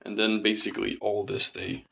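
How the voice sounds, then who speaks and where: A man's voice: fairly low pitch, level tone, normal speed, creaky, pushed and bright, highs cut off, a little hiss or hum. A young man rambling casually in a diary vlog, full of fillers and casual swearing, his voice still recovering from a sore throat. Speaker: vlogger.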